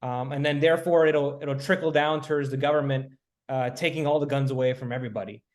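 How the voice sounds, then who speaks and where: A man from Miami who grew up there, a podcast guest laying out his views in a measured, reasoned way. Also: medium pitch, fairly even intonation. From Miami, Florida, US. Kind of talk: casual podcast discussion.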